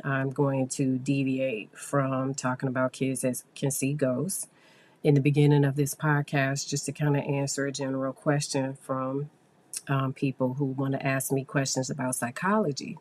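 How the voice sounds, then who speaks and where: Low-voiced hoarse woman podcaster. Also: flat delivery, normal speed, a little mellow. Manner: chatty, explains with fillers. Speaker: psychologist podcast host. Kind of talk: casual solo podcast talk.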